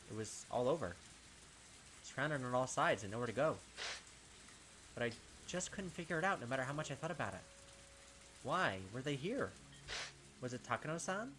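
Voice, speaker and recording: A man reading a visual novel aloud as a gaming host, with dramatic, expressive storytelling and pauses. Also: medium pitch, a little hiss or hum, poor audio.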